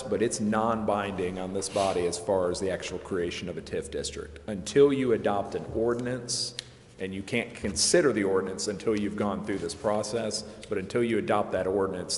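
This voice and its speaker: A man's voice: deep voice, moderate pace. A man explaining things informally as an advisor at a public meeting. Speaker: consultant attorney advising a city council. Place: indoor council chamber. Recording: roomy echoing chamber with background noise.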